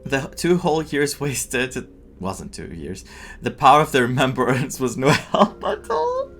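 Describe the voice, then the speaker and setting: A man's voice: medium pitch, wildly swooping pitch, unhurried, fairly bright tone, a little hiss or hum. A man giving casual playthrough commentary as a game streamer, sometimes reading dialogue aloud. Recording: game music faintly behind.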